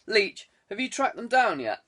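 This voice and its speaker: A menacing lord, acted out by a male audiobook reader, with a slightly high male voice. He is an angry villain who gives orders with open contempt and command. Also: very animated, normal speed, sharp tone, breathy edge, exaggerated voice. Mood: cold, commanding. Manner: commanding, contemptuous, bellowing when angered. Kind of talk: audiobook character dialogue.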